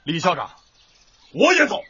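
High-voiced, earnest young schoolteacher. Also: male voice, huge pitch swings, normal speed, sharp tone, heavily acted. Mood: frustrated. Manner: earnest, emotive, exasperated. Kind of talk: radio drama acting. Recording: dull lo-fi recording, faint background noise.

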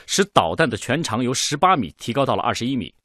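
An actor playing a practical, confident engineer in a radio drama, presenting a design in an orderly, persuasive way. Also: male voice, mid-range voice, sing-song, quick pace, sharp tone, no room sound, clean studio sound. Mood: confident, explanatory.

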